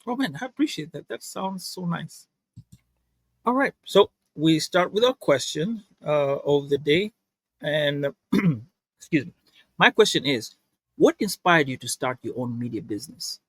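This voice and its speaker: A man's voice: on the high side, expressive, unhurried, slightly rough, no echo. A man hosting a podcast and asking casual interview questions in a friendly, chatty way. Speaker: podcast host.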